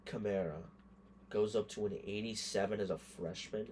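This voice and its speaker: Male gaming creator, hoarse, deeper side, loose offhand thinking-aloud commentary. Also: steady tone, moderate pace, darkish tone, a little hiss or hum. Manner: thinks aloud, muttering verdicts on players. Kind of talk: casual gameplay commentary.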